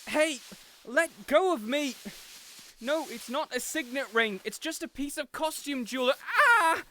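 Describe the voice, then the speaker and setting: A man's voice: very high-pitched, unhurried, sharp tone, a little hiss or hum, full character voice. An actor playing a spoiled, arrogant young nobleman in an audio roleplay drama, theatrical and sarcastic, sneering at his guard. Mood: panicked.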